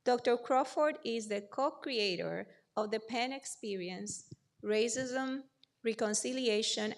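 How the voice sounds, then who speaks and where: A woman acts as host of an academic event. Her voice sits a little high with clear vocal fry as she formally reads out introductions. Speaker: symposium host introducing speakers. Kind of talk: formal introduction read from notes.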